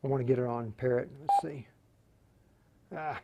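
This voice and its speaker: A man hosting an unscripted live tutorial, speaking haltingly and often muttering to himself as he works. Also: medium pitch, steady tone, gravelly, dark tone, slight background noise. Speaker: host of a live radio tutorial.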